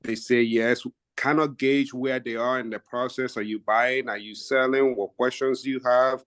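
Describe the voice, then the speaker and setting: A man's voice: medium pitch, moderate pace, clean tone, dry recording. Man, dry sound. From Nigeria. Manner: steady, methodical, stacks up examples with fillers. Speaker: real estate agent. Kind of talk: casual explanation in a team video meeting. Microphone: video call.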